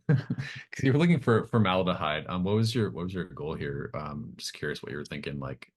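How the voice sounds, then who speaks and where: A man's voice: sits low, lively intonation, moderate pace, breathy edge. A man narrating as game master of a tabletop roleplaying game, improvising in a conversational, hesitant way as he thinks aloud.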